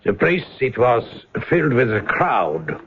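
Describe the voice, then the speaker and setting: An actor playing a deferential, wary German witness in a radio drama, speaking stiffly and haltingly with a German accent. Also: male voice, mid-range voice, big pitch swings, breathy edge, exaggerated voice. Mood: earnest testimony. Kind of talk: 1970s radio drama acting. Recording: dull lo-fi old radio recording.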